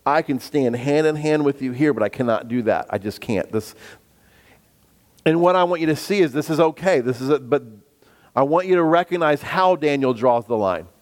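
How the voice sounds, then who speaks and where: Bass-voiced man preaching in a hall. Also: expressive. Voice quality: bass voice at the moment. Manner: earnest preaching, sometimes slowing down for emphasis; acts out the voices of biblical figures when quoting them. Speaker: pastor. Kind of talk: sermon. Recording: long reverb of a hall.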